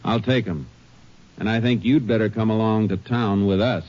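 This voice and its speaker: A man playing a terse, steady Texas Ranger. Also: lowish voice, normal speed, close to an everyday voice. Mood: firm. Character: calm, firm male Texas Ranger lawman. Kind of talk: 1950s radio drama acting. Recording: noisy lo-fi old radio broadcast.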